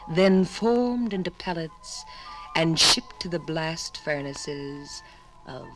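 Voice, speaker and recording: A woman's voice: sits low, expressive, slow pace, poor audio. An actress playing a theatrical female piece of iron ore in a 1950s radio dramatization. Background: background music.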